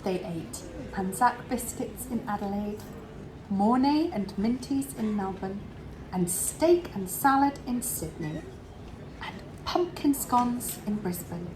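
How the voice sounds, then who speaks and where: A woman reading a children's story aloud expressively, with dramatic pauses and drawn-out words. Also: medium pitch, animated, background noise. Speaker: storybook reader for an English club.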